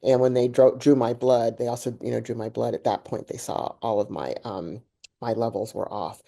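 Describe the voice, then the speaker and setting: Mellow deep-voiced woman host. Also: normal speed, creaky. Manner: candid, animated, laughs while telling a story. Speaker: podcast host. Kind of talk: conversational interview with personal storytelling.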